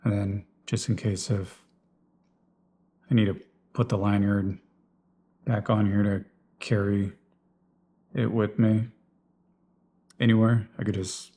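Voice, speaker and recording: A man's voice: lowish voice, little pitch movement, takes it slowly, pauses often, dark tone, a little hiss or hum. A man giving a casual, unscripted walkthrough of his gear in a plain, explanatory way, like a vlogger. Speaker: vlogger presenting personal radio gear.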